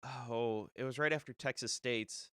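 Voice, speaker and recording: A man's voice: medium pitch, unhurried, a bit bright, clear, resonant tone, no room sound, studio quality. A young adult man hosting a disc golf show on a live stream. He sounds a little nasal from a cold and talks in a loose, rambling way, full of fillers and restarts. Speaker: disc golf podcast host. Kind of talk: casual solo live-stream talk.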